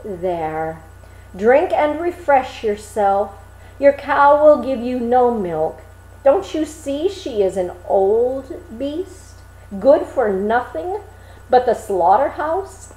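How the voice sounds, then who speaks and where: A woman voicing an obliging, sly male butcher in a storybook, talking in a measured, persuasive, folksy way. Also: fairly high pitch, sing-song, dark tone, reverberant, rough recording. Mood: advising.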